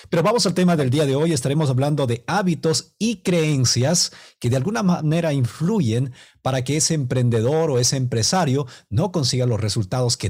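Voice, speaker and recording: A man with a husky, mid-pitched voice co-hosts a podcast. He presents in a measured, conversational way. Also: darkish tone. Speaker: podcast co-host. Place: remote call.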